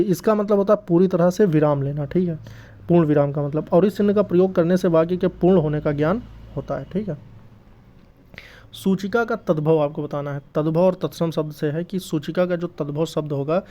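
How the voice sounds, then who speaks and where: A man's voice: fairly high pitch, warm tone. A man teaching exam prep, explaining quiz answers step by step and often checking in with his listeners. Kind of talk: explanatory lesson going through quiz questions. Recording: dull lo-fi recording.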